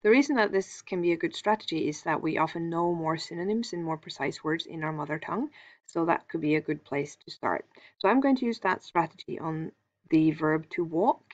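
A woman whose first language is Norwegian walks through a lesson in a calm, explanatory way, pausing with small fillers as she thinks aloud. Her voice sits on the low side. The recording sounds dull and a little lo-fi. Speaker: teacher.

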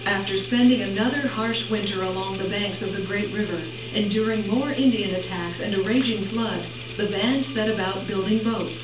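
A woman narrating an educational history documentary in a measured, informative scripted voice. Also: mid-range voice, background noise. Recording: echoey, dull film audio with music.

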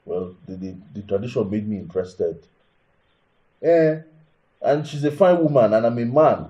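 An actor playing an aggrieved, entitled husband in a film drama, with a Nigerian accent and an indignant, repetitive manner full of rhetorical questions. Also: male voice, medium pitch, lively intonation, moderate pace, warm tone, lo-fi sound, lightly acted. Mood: defensive. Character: aggrieved, hot-tempered, entitled husband bound to tradition. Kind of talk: naturalistic film drama acting.

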